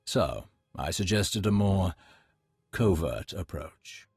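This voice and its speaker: An actor playing a suave, courteous, scheming imperial agent in a video game, speaking in a smooth-mannered, formal, theatrical way. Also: male voice, low-pitched, animated, slow pace, a little mellow, no echo, clean studio sound, faint music behind, exaggerated voice. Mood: measured briefing.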